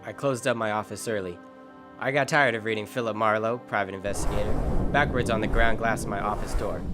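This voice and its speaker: A man's voice: on the low side, steady tone, fairly quick, a bit bright, faint music behind, lightly acted. An actor playing a world-weary, wry private detective in an old-time radio drama, narrating and talking through his case. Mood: dry, weary.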